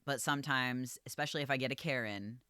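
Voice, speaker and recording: A man who manages a coffee shop, talking casually and calmly, with a voice that projects and lots of "like" and "um.". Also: mid-range voice, fast talker, clean tone, no echo, clean studio sound. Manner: calm, easygoing, filler-heavy with lots of "like" and "um". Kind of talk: casual podcast chat.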